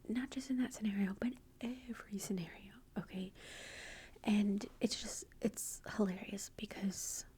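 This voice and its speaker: A woman's voice: mid-range voice, unhurried, slightly rough. Whispering chatty mother. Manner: soft unvoiced whisper, chatty with many fillers. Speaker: mother recommending a movie. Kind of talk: whispered casual storytelling.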